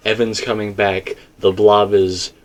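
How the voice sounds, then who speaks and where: A man's voice: fairly low pitch, level tone, unhurried. A man in a casual, rambling fan discussion, repeating himself for emphasis as he co-hosts. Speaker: co-host discussing video game theories.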